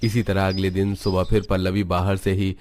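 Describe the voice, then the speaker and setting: A man reading scripted narration for an animated family story. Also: low voice, moderate pace, a little hiss or hum.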